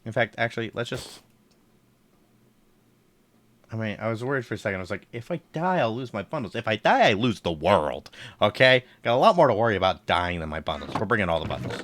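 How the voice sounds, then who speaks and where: Low-voiced man, congested, streaming. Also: very animated, fast talker, fairly bright tone. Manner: chatty, thinks aloud while playing. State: congested, throat tickle. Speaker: game streamer. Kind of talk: casual live gameplay commentary.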